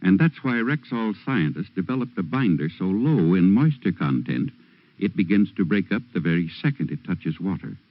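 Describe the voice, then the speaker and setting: A man's voice: low-pitched, big pitch swings, moderate pace, no echo. A man delivering a scripted old-time radio advertisement in an earnest, explanatory way. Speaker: commercial pitchman. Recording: dry, dull vintage radio recording.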